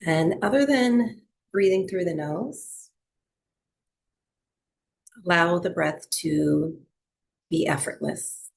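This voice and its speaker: Female meditation guide: very unhurried, gravelly edge, soft soothing instruction for breathwork and rest. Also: fairly low pitch. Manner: soothing, gentle, unhurried instruction with long pauses. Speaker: yoga and breathwork teacher.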